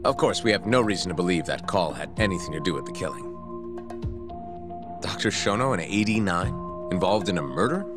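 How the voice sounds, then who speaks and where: An actor playing an earnest, composed prosecutor in his thirties in a video game, speaking in a measured, formal way. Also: deep voice, lively intonation. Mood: polite, calculating. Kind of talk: video game cutscene acting. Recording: music behind the voice.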